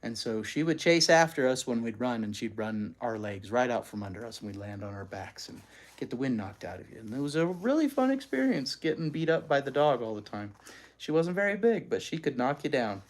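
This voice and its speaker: Animated, folksy storybook reader. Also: mid-range voice, brisk. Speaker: host reading children's books aloud. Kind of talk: storybook read-aloud with chatty asides.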